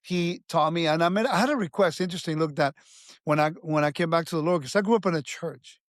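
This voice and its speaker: A man's voice: a little high, quick pace, no echo. Earnest man telling his story, dry sound. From Puerto Rico. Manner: earnest, with frequent restarts and fillers. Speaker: guest. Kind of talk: conversational life story.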